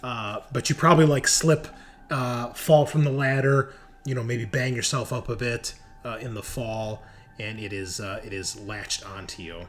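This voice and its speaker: A man's voice: mid-range voice, steady tone, moderate pace, fairly bright tone. Man game-mastering over background noise. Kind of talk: running a tabletop roleplaying game, casual.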